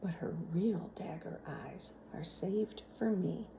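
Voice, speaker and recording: Woman teacher-type narrator for a children's novel, mellow and slow, faint noise behind. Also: on the low side, gravelly. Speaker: teacher reading aloud.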